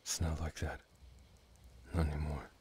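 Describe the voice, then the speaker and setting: Very deep, weary retired killer. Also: male voice, completely flat delivery, moderate pace, mellow. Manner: subdued, reflective, at times near whispering. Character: weary retired killer, a gruff devoted father. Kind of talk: dramatic game dialogue. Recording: noticeable background noise.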